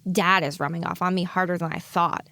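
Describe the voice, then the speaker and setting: A woman's voice: lowish voice, steady tone, a little fast, bright, forceful tone, dry recording. A woman playing a bewildered young female pony thinking aloud in an introspective, wry way in an audio drama. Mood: puzzled, wry. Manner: musing, questioning inner monologue.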